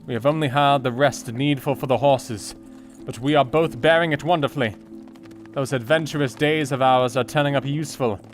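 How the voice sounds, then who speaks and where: An actor playing a determined Victorian doctor, giving a terse, formal diary entry in a radio play. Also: male voice, medium pitch, moderate pace, soft background music, lightly acted. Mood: urgent, resolute. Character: Doctor Seward, determined Victorian doctor on a hard pursuit. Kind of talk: dramatic audio diary reading.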